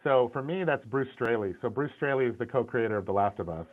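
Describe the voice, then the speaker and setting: A man's voice: mid-range voice, quick pace. A middle-aged man, a concept art and animation director, talking candidly in a casual interview, often restarting and repeating words. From Syrian, grew up in the US. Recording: tinny phone-like call audio.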